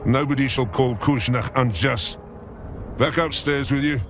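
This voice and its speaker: Gravelly, overbearing orc boss, played by a man. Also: medium pitch, a little slow, music in the background, close to an everyday voice. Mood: mocking, gruff. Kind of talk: video game acting. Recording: clear background noise.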